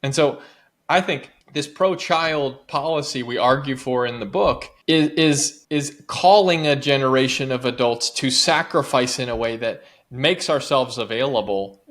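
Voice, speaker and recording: A man's voice: medium pitch, a bit bright. A man appearing as a guest author, making an earnest, persuasive argument that builds its points through repetition. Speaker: guest author and advocacy director.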